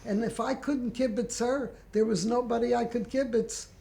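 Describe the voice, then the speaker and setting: A woman's voice: on the high side, fairly even intonation, normal speed, gravelly, dark tone. An older woman, reminiscing casually in a plainspoken, wry way. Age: older, 70s or above. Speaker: interviewee, longtime mahjong player.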